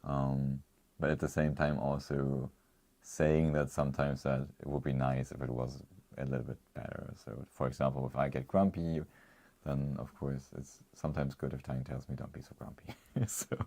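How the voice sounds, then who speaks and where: Very deep-voiced man chatting casually. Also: flat delivery, moderate pace, mellow. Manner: rambling, chuckles often, restarts. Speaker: co-host. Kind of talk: casual livestream chat reading comments.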